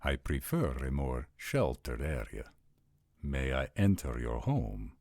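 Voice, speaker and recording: A man playing a formal, suspicious vampire nobleman with a measured, deliberate manner in an audio roleplay drama. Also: rumbling low, big pitch swings, creaky, darkish tone, no room sound, clean studio sound, full character voice. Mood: formal, polite.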